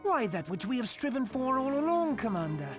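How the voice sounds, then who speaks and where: High, animated female conspirator. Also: brisk, faint music behind. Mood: smug. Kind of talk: dramatic video game acting.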